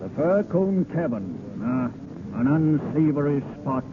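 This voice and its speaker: A man's voice: a little high, big pitch swings, unhurried. An actor playing a gloomy, disapproving king's aide, dry and blunt, in a vintage radio drama. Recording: phone-like, noisy old radio broadcast.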